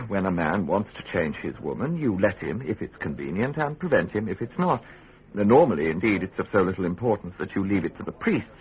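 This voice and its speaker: A worldly, scheming English official in a Tudor radio play. He is insinuating and smug, his pitch moving freely between deliberate pauses, heard through a tinny, narrow-band recording. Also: husky, heavily acted. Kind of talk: British radio drama acting.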